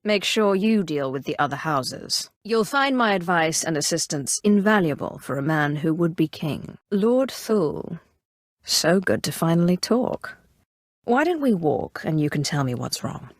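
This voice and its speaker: An imperious female Sith inquisitor from a video game, speaks in a fairly low register. She is cool, calculating and haughty, giving formal, measured lines like someone used to command. The sound is very dry, with no room on it. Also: very animated, no echo. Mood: measured, persuasive. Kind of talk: scripted video game character dialogue.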